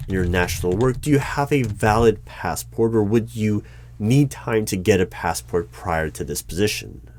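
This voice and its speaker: A man performing a one-sided ASMR roleplay as a politely bureaucratic hiring manager, with a measured, halting, dry and formal delivery. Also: lowish voice, lively intonation, a little mellow. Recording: noticeable background noise with keyboard typing. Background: computer keyboard typing.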